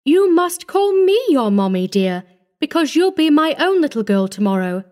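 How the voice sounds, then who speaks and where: A woman voicing a brisk, impatient, well-off woman in a story, speaking emphatically and with self-assurance. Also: high-pitched, very animated, warm tone, pitched above the performer's own voice. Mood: forced cheer.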